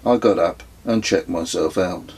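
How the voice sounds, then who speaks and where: A man narrates his own written story in a lowish, husky voice. The delivery is slow and measured, with a descriptive audiobook style that takes its time over each phrase. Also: darkish tone. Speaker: author reading own memoir.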